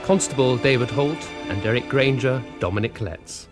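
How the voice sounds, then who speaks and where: A man's voice: medium pitch, normal speed. Male radio announcer over prominent music. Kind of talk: scripted credits announcement.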